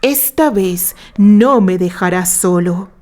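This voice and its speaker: A woman voicing an obsessed, bitter gentleman in a dramatic, anguished audiobook confession. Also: medium pitch, slow, natural voice.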